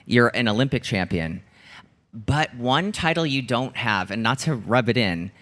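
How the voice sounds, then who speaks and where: A man's voice: medium pitch, animated, unhurried, a bit bright. A man co-hosting a casual live podcast, interviewing and introducing in a playful, teasing way. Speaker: podcast co-host. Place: live show in front of an audience. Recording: live show with faint background noise.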